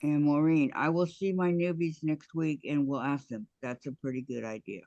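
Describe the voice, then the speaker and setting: A man co-hosting a quilting show, casually reading viewer comments aloud and answering in short, relaxed remarks. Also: a little high, moderate pace, vocal fry, no echo, highs cut off.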